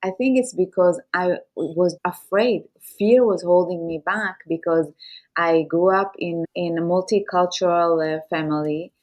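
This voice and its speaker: A woman's voice: lowish voice, steady tone, measured pace, darkish tone. A woman podcast host who speaks English as her third language, talking candidly and personally, sometimes repeating her words. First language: Farsi or Hebrew. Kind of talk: conversational interview.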